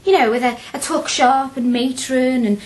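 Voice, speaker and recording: A woman's voice: sits high, normal speed, lightly acted. An actress playing a feisty, blunt young working-class woman with a Liverpool accent in a radio drama, chatty and outspoken. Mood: chatty, reflective. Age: young adult.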